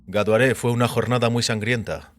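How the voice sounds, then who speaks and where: A man voicing a mysterious, composed and quietly assured visitor in audio fiction, speaking in a measured, calm way. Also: mid-range voice, pitched above the performer's own voice, close to an everyday voice. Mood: calm, reflective.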